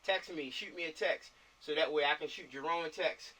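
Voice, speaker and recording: A man's voice: fairly high pitch, normal speed, pushed and bright, slight background noise. An American man in a casual planning chat on a video call, repeating himself and often checking for agreement. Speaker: film director organizing a shoot. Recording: distant mic on internet video call.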